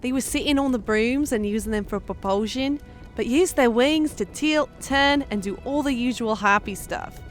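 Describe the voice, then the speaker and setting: Casting: female actor for sharp-tongued horned woman, fantasy audiobook, bright upper register, blunt delivery over music. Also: a little high, moderate pace, lightly acted. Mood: matter-of-fact, encouraging. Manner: blunt, exasperated. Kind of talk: fantasy audio story character acting.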